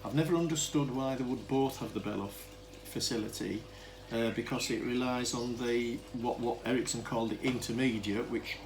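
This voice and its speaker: A man talks through an informal hands-on demonstration in a mid-pitched voice. He is hesitant and rambling, often breaking off to correct himself. The amateur camera recording has clear background noise. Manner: hesitant, rambling, self-interrupting explanation. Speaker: hobbyist demonstrator of vintage telephone equipment.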